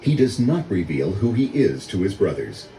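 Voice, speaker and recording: A man's voice: on the low side, very animated, normal speed, warm tone. An older man giving scripted narration for a storybook read-along. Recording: roomy, distant, faintly noisy audio.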